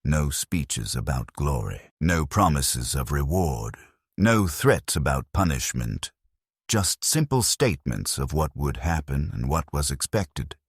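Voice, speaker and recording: An extremely low-voiced man delivers a scripted, somber first-person story. His tone is resigned and rough-edged, moving widely in pitch. The sound is dry and close. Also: sing-song, measured pace, dry recording. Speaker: narrator of a first-person science fiction story. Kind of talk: scripted dramatic narration.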